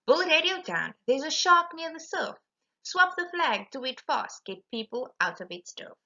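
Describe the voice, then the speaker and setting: A woman's voice: high voice, very animated, normal speed, pushed and bright, highs cut off, pitched above the performer's own voice, exaggerated voice. A woman voicing an alert fisherman lookout in a storybook, calling out urgent commands.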